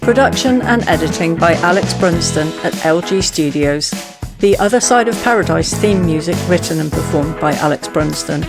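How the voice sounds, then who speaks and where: A woman's voice: lowish voice, animated, moderate pace, gravelly. A woman announcer reading a scripted introduction and credits for an audio drama. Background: music with guitar and drums.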